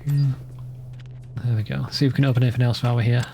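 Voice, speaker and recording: Male let's-play commentator: gravelly fry, mellow tone, offhand casual delivery. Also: mid-range voice, steady tone, very fast, slight background noise.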